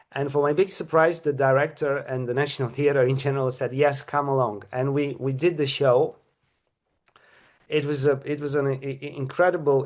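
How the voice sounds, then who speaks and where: A middle-aged man, speaking at a press conference as a theatre director, who stops and restarts often and repeats his words. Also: fairly high pitch. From Bulgaria. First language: Bulgarian.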